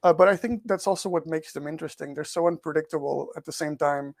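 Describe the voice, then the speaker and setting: A man's voice: on the high side, fast talker, creaky, no echo, studio quality. A man who grew up in Portugal and speaks English as a second language, answering interview questions thoughtfully as an author and researcher. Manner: thoughtful, repeats words as he thinks. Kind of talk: podcast interview.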